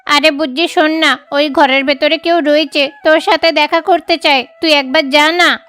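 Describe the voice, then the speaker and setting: Woman as a high-voiced scheming schoolmate bird. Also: fairly even intonation, moderate pace, a bit bright, soft background music. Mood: sly coaxing. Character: spiteful, scheming young schoolmate birds, crow and parrot.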